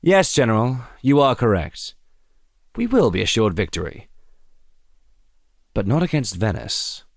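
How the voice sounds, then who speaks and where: A man's voice: medium pitch, sing-song, unhurried, gravelly, pauses often, no room sound, clean studio sound, voice pushed up, heavily acted. A man voicing a proud, shrewd, arrogant young French commander in an audio drama. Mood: coolly assured. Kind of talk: audio drama character acting.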